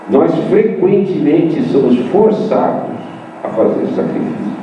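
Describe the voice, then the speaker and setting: Older male professor, low voice, emphatic debater, distant mic in an echoey hall. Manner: emphatic, with rhetorical questions and repetition. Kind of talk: debate answer to a student. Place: large hall at a university meeting. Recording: distant echoey room mic, dull and noisy.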